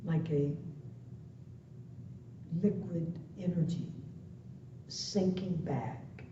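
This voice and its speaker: A woman meditation instructor leading a guided practice in soothing, deliberate phrases with long pauses between them. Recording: muffled, echoey, distant web-call audio, faint rumble.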